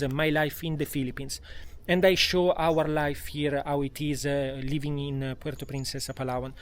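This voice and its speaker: A man with an Italian accent, a vlogger talking casually at home with many fillers and restarts. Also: a little high. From Italy. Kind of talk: casual vlog talk. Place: home in a tropical town.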